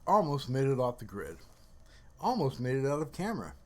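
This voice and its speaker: A man's voice: medium pitch, wildly swooping pitch, brisk, a little mellow. A man casually rambling to livestream viewers in a playful, mock-earnest, musing way. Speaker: livestreamer hosting his own stream.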